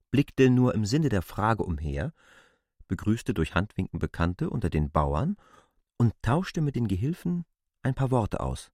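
Male storyteller for a classic novel: very low, expressive intonation, husky grain, literary narration. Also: no echo, clean studio sound.

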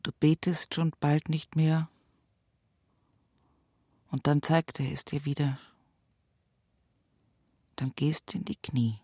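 Low, unhurried older widow. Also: female voice, steady tone, breathy edge, no echo, clean studio sound, full character voice. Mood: wry, sorrowful. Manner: confiding, musing, earthy, often dropping to a hushed murmur. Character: older rural Bavarian widow talking at her dying mother's bedside. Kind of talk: intimate radio play monologue. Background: music behind the voice.

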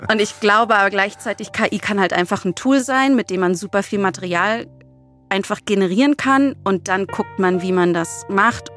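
A woman's voice: medium pitch, normal speed, fairly bright tone, soft background music. A woman co-hosting a podcast in casual chat, colloquial and blunt, dropping in the odd English phrase. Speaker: podcast co-host, comedy writer and screenwriter.

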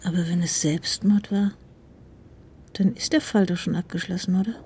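An actress playing a sharp, caring middle-aged wife in a radio drama, speaking in a dry, probing, intimate way. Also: female voice, on the low side, fairly even intonation, normal speed, a little hiss or hum, close to an everyday voice. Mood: probing, indignant. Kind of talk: naturalistic radio drama acting.

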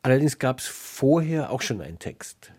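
A man's voice: medium pitch, very animated, moderate pace, darkish tone, slightly rough. A man moderating a radio interview in a considered, explanatory way.

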